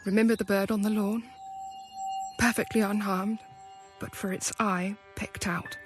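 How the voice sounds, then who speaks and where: A woman playing an anxious young wife, speaking slowly and lyrically. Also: medium pitch, flat delivery, lightly acted. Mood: fearful. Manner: slow, lyrical, fearful. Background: music.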